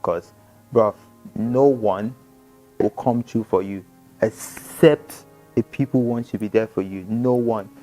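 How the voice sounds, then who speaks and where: A man's voice: fairly low pitch, takes it slowly, soft background music. A man, chatting casually and slangily on a podcast, often acting out quotes. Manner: slangy, animated storytelling with acted quotes. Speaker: podcast host. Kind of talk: casual chat.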